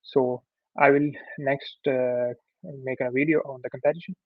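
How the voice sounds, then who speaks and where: A man's voice: mid-range voice, flat delivery, normal speed, no echo. A young man who is not a native English speaker, hosting a tutorial and talking without a script, with many fillers and restarts. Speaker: tutorial host. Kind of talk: unscripted course introduction with screen share. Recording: tinny phone-like sound.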